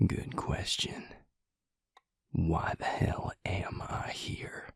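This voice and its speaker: A man acting a grim, protective vampire in an audio drama, terse and angry in a gravelly, breathy near-whisper. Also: very slow, no echo, studio quality. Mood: cold anger. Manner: terse, growling, restrained anger.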